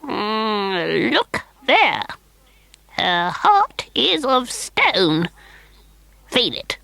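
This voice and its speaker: A woman reads as a tiny, bent old woman of the woods, wise and solemn. The voice is gravelly and drawn out, with pitch that swoops up and down. The sound is close and dry. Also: very animated, sharp tone, no echo, full character voice. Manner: slow, croaky, knowing storybook voice. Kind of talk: storybook reading.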